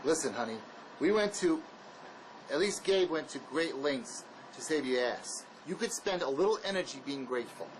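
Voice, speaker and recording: A hard-nosed man on the run , played by an actor, speaks in a slightly high voice. He is blunt, bossy and full of sarcasm, in the style of a tense drama scene. A faint ambient rumble sits under the recording. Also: expressive, normal speed, natural voice, poor audio. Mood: irritated. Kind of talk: dramatic dialogue acting.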